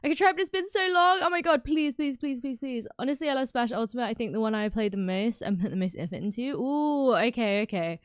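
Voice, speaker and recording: A British woman livestreaming, chatting casually and reacting loudly to her chat. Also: a little high, fast talker, few pauses, clear, resonant tone, no room sound, studio quality. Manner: animated and exclamatory, reacting to chat. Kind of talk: casual live stream chat.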